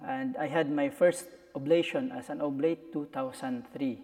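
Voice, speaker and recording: A man's voice: mid-range voice, steady tone, normal speed, a little mellow. A man in his forties with a Filipino accent, a priest chatting casually as an interview guest. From Philippines. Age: 40s. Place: echoey room in a church. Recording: echoey hall reverb.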